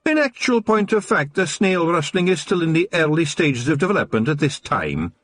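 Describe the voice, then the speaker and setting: A man voicing a small, wild Scottish fairy chief, a cheeky rogue with a hoarse, whispery voice and a boisterous manner. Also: a little high, sing-song, moderate pace, a bit bright, no room sound, voice pushed up.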